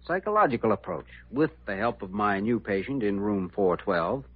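An actor playing a genial, wry young doctor who talks smoothly and schemes, in old-time radio drama style. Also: male voice, on the low side, lively intonation, normal speed. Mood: scheming, playful. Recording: dull vintage radio recording.

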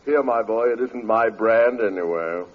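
A man's voice: fairly low pitch, moderate pace, slight background noise, close to an everyday voice. A man playing a pompous, greedy older uncle in a 1940s radio comedy, speaking in flowery, self-important phrases. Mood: lofty, wounded. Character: idle, pompous, freeloading old uncle, grandiloquent and greedy. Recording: dull old radio broadcast recording.

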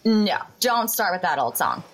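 A woman's voice: medium pitch, big pitch swings, moderate pace, fairly bright tone, husky, full character voice. A woman in an audio drama playing a feisty, bossy young woman with an assertive, sarcastic, prodding manner. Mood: insistent. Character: feisty, bossy young woman, sharp-tongued with her bandmates.

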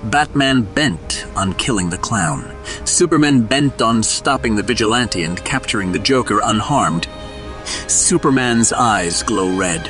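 A man's voice: lowish voice, animated, measured pace, a bit bright. A man narrating a scripted audio drama in a dramatic storytelling style. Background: music.

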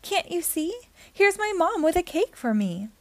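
Woman acting silly young male monkey, proud, insistent, childlike, very high lilting pitch, storybook character. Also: sing-song, unhurried, a little mellow, no room sound, heavily acted. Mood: eager, pleading.

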